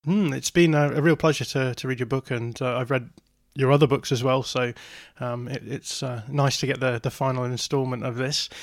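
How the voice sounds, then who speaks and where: A man's voice: mid-range voice, steady tone, normal speed, vocal fry, few pauses, no room sound, studio quality. A man hosting an interview in a conversational way. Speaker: interview host.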